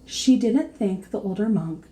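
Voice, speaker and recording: A woman reading a children's storybook aloud in a deliberate teacherly way, pausing to put questions to young listeners. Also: medium pitch, expressive, dark tone.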